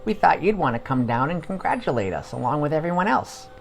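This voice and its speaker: A man's voice: a little high, sing-song, fast talker, gravelly, faint music behind, pitched above the performer's own voice. A man voicing a wry, inquisitive female adventurer in a fantasy story podcast. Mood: questioning.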